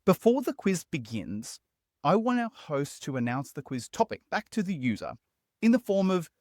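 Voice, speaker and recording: Upbeat man narrating a tutorial, lively pitch. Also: normal speed, no room sound, clean studio sound. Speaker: tutorial host. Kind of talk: scripted tutorial voice-over.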